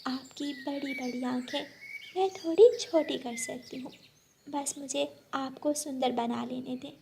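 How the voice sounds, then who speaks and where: A woman's voice: sits high, unhurried, gravelly, warm tone, slight background noise. A woman voicing a brave, kind little female bird in a children's cartoon, earnest and pleading in manner. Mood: coaxing. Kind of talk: Hindi children's cartoon acting.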